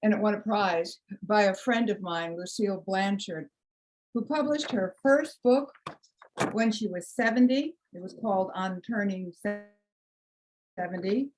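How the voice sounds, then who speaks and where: Slow, measured woman reading poetry. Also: mid-range voice, a little mellow, a little hiss or hum, rough recording. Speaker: congregation member reading poetry. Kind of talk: poetry reading with spoken introduction.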